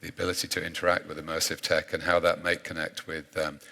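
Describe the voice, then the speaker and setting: A man with a very deep, flat voice speaks quickly and confidently on a conference panel. His tone is bright and businesslike, with the long reverb of a hall. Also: vocal fry. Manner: confident, corporate. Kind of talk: panel discussion.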